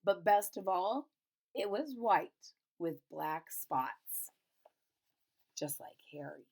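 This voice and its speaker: A woman reads a children's picture book aloud expressively, pausing to explain and retell it in simple casual words. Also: lowish voice, animated, gravelly, darkish tone. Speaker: storybook reader.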